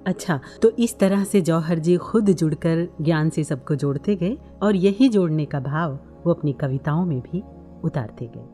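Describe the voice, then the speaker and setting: A woman's voice: low voice, measured pace, dark tone, soft background music. A woman hosting a devotional radio interview, attentive and affirming as she listens and asks questions. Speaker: radio programme host.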